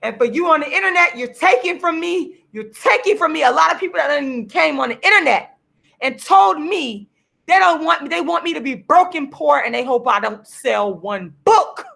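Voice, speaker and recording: Hoarse, gravelly-voiced woman ranting heatedly. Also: high voice, animated, moderate pace. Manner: heated, repetitive, preachy, now and then shouting. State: losing her voice, hoarse. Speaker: vlogger and activist. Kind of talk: impassioned direct-to-camera rant. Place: seated at home signing books.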